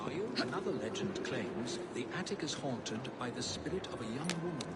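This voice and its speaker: A man playing a genteel, theatrical Victorian gentleman in a video game, telling ghost stories in florid, articulate speech. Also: medium pitch, sing-song, a little fast, loud music behind the voice, close to an everyday voice. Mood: theatrical, eerie. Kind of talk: dramatic ghost-story narration in a video game.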